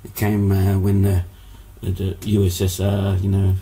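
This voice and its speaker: A man's voice: low-pitched, completely flat delivery, unhurried, mellow. A man, born in Hungary, telling stories as a musician guest in a casual interview, rambling with many fillers and restarts.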